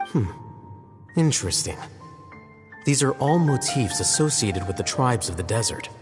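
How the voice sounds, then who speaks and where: A man playing an aloof, scholarly young man in an anime video game, measured, formal and deadpan. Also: mid-range voice, lightly acted. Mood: analytical. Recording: long reverb, music behind.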